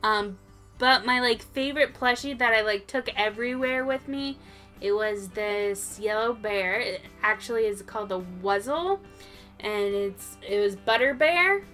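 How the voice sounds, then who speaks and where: Woman, toy show co-host, very bright high voice, unhurried, informal, hesitant, light music behind. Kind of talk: casual toy review chat.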